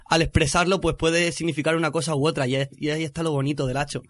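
A man's voice: fairly high pitch, little pitch movement, fast, a bit bright. A man with a Spanish accent from Spain, chatting casually as a reporter on a radio talk show. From Extremadura, Spain.

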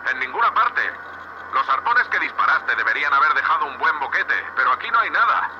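A man's voice: fairly high pitch, big pitch swings, brisk. A man with a Castilian Spanish accent playing a blunt, foul-mouthed space salvage boss in a sci-fi audio drama, heard over a radio link. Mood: awed, excited. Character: gruff, sweary space salvage boss, awed by alien tech. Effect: radio comms filter. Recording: muffled, noisy space-suit radio link.

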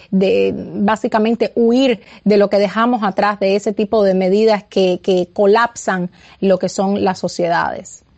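A woman's voice: mid-range voice, a little mellow. A woman from Cuba, an interview guest speaking earnestly and persuasively, with many hesitations and restarts. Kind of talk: political interview. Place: remote, in the United States.

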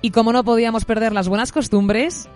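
A woman presenting a literary podcast in an expressive, effusive, semi-scripted way. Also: fairly high pitch, fairly quick, faint music behind.

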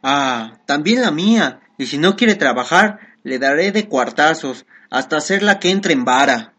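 Casting: male actor as coarse country bumpkin, slangy and exclamatory, higher-pitched and very lively, comic radio play. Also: unhurried, full character voice. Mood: gruff, boastful. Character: coarse, harsh country bumpkin mule buyer, easily astonished.

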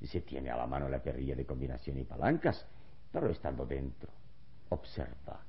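Calm, wise adventure hero in vintage radio drama; very low voice, deliberate delivery, dull lo-fi recording. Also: male voice, creaky, exaggerated voice. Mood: calm, reasoning. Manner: calm, deliberate, reassuring elder to a boy. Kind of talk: vintage Spanish-language radio serial acting.

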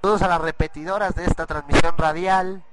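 A hoarse man, giving rambling live commentary on a school cultural event for the school radio. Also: fairly high pitch, brisk, very noisy. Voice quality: husky. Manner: rambling, restarts often, excited bursts.

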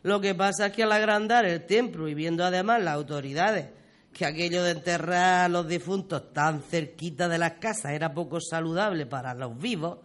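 A performer playing a talkative, fond old grandmother, reminiscing in folksy, expressive style in a community radio play. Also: medium pitch, natural voice. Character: talkative, fond old village grandmother telling local history.

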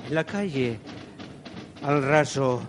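A man's voice: mid-range voice, lively intonation. A man reciting poetry theatrically, in a dramatic manner, as a performer acting out the verses. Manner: dramatic, slow, imitates drums and trumpet with the voice. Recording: loud music behind the voice.

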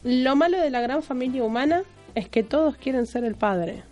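An Argentine woman co-hosts a radio magazine in an informal, conversational way. Her voice is fairly high, and music plays behind it. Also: animated, normal speed, darkish tone. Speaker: radio co-host. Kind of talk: casual studio chat.

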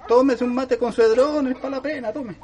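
A man's voice: very high voice, fairly even intonation, moderate pace, a little mellow. A man playing a humble, resigned country father in a radio drama, with a rural Chilean accent and a colloquial, deferential manner. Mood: gently insistent.

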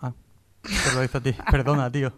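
A man's voice: medium pitch, lively intonation, normal speed, crowd noise. A man with an accent from Spain co-hosting a comedy radio show with casual, joking banter. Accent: Spanish from Spain. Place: radio studio.

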